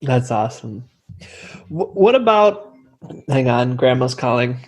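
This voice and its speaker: A young man hosts a relaxed interview from home. He rambles, circles back and restarts his sentences, filling gaps with "you know" as he works his way to each question. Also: medium pitch, unhurried, poor audio. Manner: rambling, many fillers and restarts. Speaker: podcast host. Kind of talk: casual conversational interview.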